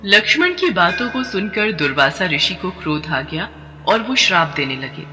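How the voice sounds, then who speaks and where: A man narrating a devotional story in a steady, expressive way. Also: sits high, normal speed. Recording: long reverb over background music.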